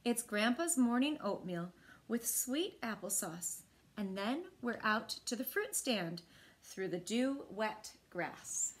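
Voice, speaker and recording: A woman in her thirties with an American accent, reading a picture book aloud to children with expressive, clearly phrased delivery. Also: on the high side, slow pace. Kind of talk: children's read-aloud storytelling. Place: small indoor room.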